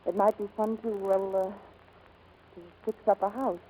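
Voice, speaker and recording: A woman's voice: medium pitch, little pitch movement, unhurried, warm tone, husky, poor audio. An actress playing an earnest, romantic but guarded young woman pilot in 1940s radio drama style. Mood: tender, romantic. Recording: old 1940s radio broadcast, music behind.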